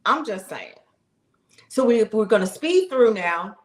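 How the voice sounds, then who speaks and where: A middle-aged woman streaming live, telling viewers her own story in a casual, animated way and talking straight to them. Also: mid-range voice, a little slow, echoey room. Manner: animated, talks straight to the audience, exclaims, laughs. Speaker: live streamer sharing her health journey.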